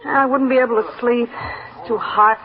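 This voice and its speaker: A woman's voice: a little high, steady tone, normal speed, close to an everyday voice. An American woman playing a nervous, hesitant, apologetic eyewitness in a 1950s radio drama. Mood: apologetic, fretful. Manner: hesitant, fretful. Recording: dull old radio recording.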